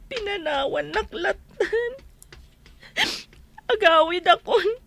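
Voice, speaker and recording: A very high-pitched, plaintive wronged wife. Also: female voice, animated, vocal fry, fairly bright tone, exaggerated voice. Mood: tearful, sorrowful. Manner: plaintive, drawn-out, tearful delivery. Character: wronged, pleading wife and weary mother. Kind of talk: radio drama acting.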